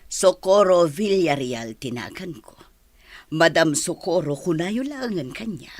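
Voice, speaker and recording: A woman playing a welcoming, motherly public school teacher in a radio drama, addressing others clearly with a Filipino accent. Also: lowish voice, expressive, measured pace, a little mellow, dry recording. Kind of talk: Ilocano radio drama acting.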